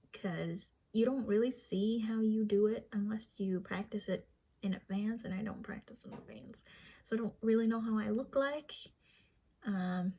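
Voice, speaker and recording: A woman's voice: medium pitch, darkish tone. A young woman whose first language is Vietnamese speaks with a light Vietnamese accent. She talks to the camera in a casual, chatty, self-deprecating way, with restarts and small laughs. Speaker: vlogger.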